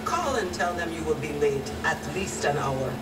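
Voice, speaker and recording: A no-nonsense woman in authority in a radio drama. Her voice is fairly low, firm and commanding. The sound is distant, over loud music. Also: big pitch swings, moderate pace, reverberant. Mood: stern, commanding. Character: stern, no-nonsense adult woman in authority at a school.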